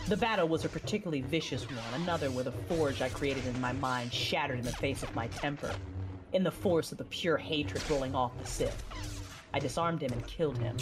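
A woman playing a resolute, battle-hardened female Jedi who tells her story in measured, dramatic first-person narration for an audio drama. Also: extremely low pitch. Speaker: narrator and lead character.

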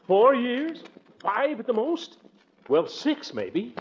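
A man's voice: very high voice, very animated, slow, exaggerated voice. A muffled, noisy old radio recording of a blustering middle-aged American uncle. His voice swoops wildly as he puffs up with indignation and sputters when flustered, in 1940s sitcom style. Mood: blustering, defensive. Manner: indignant, theatrical, chuckling and sputtering.

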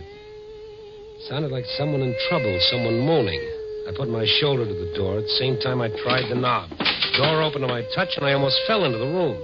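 An American actor playing a weary, tough newspaper reporter with a wry, clipped manner in a 1950s radio drama. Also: male voice, on the high side. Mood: tense storytelling. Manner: wry, clipped, hard-boiled. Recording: dull, noisy old radio recording.

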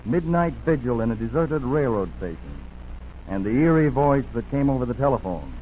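A man's voice: mid-range voice, very animated, moderate pace, highs cut off, slight background noise. An American man announcing in urgent, melodramatic 1940s radio style, on an old broadcast recording. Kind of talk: 1940s dramatic radio narration.

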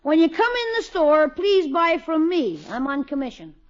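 Eager, high-pitched radio schoolboy. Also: female voice, moderate pace, heavy background noise. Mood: brisk, self-important. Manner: excitable, boyish, slangy. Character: eager, brash schoolboy nephew hustling for a job. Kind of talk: 1950s radio comedy acting. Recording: dull vintage 1950s radio recording.

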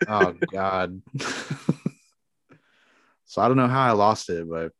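Relaxed, friendly man in dry sound. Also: sits low, slow, no room sound. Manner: relaxed, friendly, drops "man" and "dude" often. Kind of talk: casual chat.

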